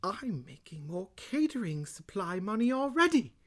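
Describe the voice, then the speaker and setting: A man putting on a British movie villain voice, reading a children's book in a slow, hushed, drawn-out, dramatic manner. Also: high voice, big pitch swings, pitched above the performer's own voice. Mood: grave, dramatic.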